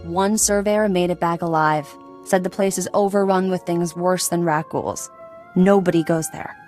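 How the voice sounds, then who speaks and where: Low-pitched, teasing smuggler woman actress performing. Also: fairly even intonation, moderate pace, a little mellow, faint music behind, close to an everyday voice. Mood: intent, worried. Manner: teasing, sardonic. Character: teasing, streetwise woman smuggler, sardonic and confident. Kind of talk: video game character acting.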